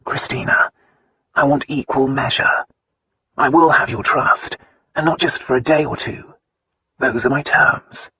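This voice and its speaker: Romantic lead husband, acted by a man: tender but commanding, very breathy and husky, mid-pitched, slightly muffled recording. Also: fairly even intonation, measured pace, long pauses, pushed and bright, heavily acted. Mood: earnest, insistent. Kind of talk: romance audiobook dialogue.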